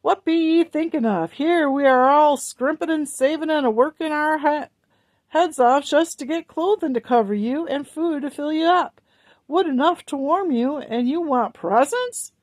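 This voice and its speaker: A woman reading aloud, voicing a stern, sharp-tongued Puritan mother who scolds the children. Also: fairly high pitch, expressive, moderate pace, no echo, lightly acted. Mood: indignant. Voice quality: scolding, snappish.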